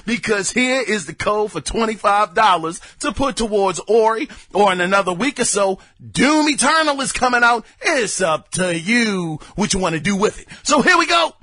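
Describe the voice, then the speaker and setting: Excitable, lively-voiced man hosting a podcast. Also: sits high, normal speed, hardly any pauses, no room sound. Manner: excitable, loud bursts, folksy asides. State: hoarse. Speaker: podcast host. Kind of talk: casual gaming news podcast.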